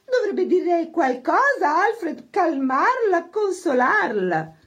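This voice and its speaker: Very shrill, sugary society spinster. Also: female voice, very animated, fairly bright tone, exaggerated voice. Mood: cloying sympathy. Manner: gushing, drawn-out, theatrical. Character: affected, sugary, falsely sympathetic society spinster.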